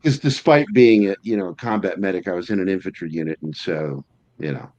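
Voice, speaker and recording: Blunt low-voiced man, lo-fi sound. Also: brisk, a little mellow. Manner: blunt, swearing, laughs often. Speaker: panelist. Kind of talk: casual chat on a livestream. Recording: dull lo-fi audio.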